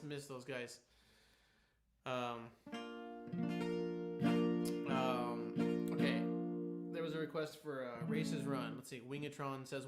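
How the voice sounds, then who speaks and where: A man in his thirties hosting a music livestream, chatting casually between songs in a rambling, relaxed way with many fillers. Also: mid-range voice, roomy sound.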